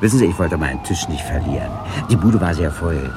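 Casting: defensive small-time snitch, hesitant, lively low-pitched delivery, radio play over clear street noise. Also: male voice, a little fast. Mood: flustered, defensive. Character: flustered small-time male informant, deferential and defensive. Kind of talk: 1980s German radio play acting.

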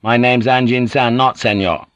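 A man voicing a commanding English sea captain who barks curt, sharp orders. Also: medium pitch, steady tone, moderate pace, dry recording, muffled recording, lightly acted.

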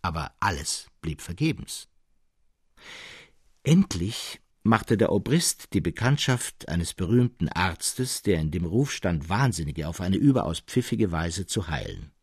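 A man reading a classic literary tale as scripted narration, in an expressive, precise storytelling manner. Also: sits low, a little slow, breathy edge, no echo, studio quality. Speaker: audiobook narrator.

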